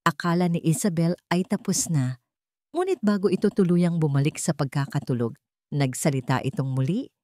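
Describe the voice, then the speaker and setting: A Filipino woman reads a dramatic love story as its narrator. Her voice sits on the low side and is recorded close and dry. She tells it in a measured, emotive way. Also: expressive, mellow, no echo.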